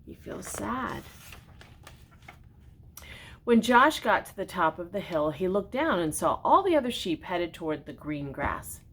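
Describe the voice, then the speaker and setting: An older woman reading a children's storybook aloud expressively, pausing for gentle, simply worded explanations. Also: sing-song, slightly rough. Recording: noticeable background noise with low rumble.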